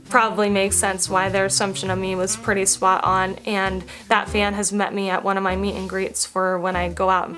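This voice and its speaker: A woman's voice: fairly high pitch, sharp tone. Chatty woman in her thirties, frank. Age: 30s. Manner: frank and chatty, with quick restarts. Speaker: vlogger answering fan assumptions. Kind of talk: casual talk to camera.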